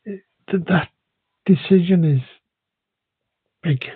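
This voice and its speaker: A man who speaks English and Welsh, talking in a hesitant, halting way with many restarts in a casual conversation. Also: sits high, slightly rough, no echo. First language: English and Welsh bilingual. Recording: dry, phone-like narrow sound.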